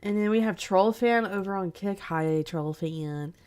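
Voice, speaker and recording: A young woman streamer chats casually with her viewers in an unhurried, drawn-out way. Her voice sounds congested and sniffly from a lingering cold. She greets people by name and laughs easily between thoughts. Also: medium pitch, a little mellow, smooth. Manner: slow, chatty, greets chat by name, laughs often. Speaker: streamer host. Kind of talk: casual livestream chat.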